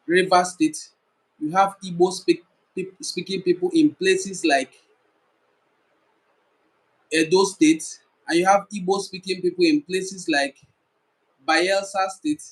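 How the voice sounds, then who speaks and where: A man's voice: sits high, fairly even intonation, slow pace, long pauses, rough recording. A man with a Nigerian accent giving an educational talk to camera, listing points in a repetitive, emphatic way. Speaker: cultural commentator.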